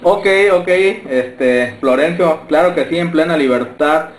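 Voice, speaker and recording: A Mexican man giving signal reports as a radio operator, courteous and halting as he speaks indoors. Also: a little high. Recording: roomy camera pickup with faint noise.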